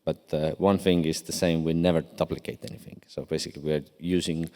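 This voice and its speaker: Deep smooth voice, estonian guy on a panel, lots of uhs, big echoey hall. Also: flat delivery, dark tone. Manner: halting, many fillers and restarts. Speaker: deputy cyber commander on a panel. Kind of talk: conference panel answer. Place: large conference hall. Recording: long hall reverb.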